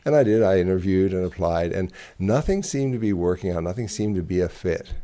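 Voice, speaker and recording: A man's voice: low-pitched, lively intonation, brisk, warm tone. A middle-aged man from Zimbabwe, a ministry director, telling his story in an interview with frequent hesitations and repeated words.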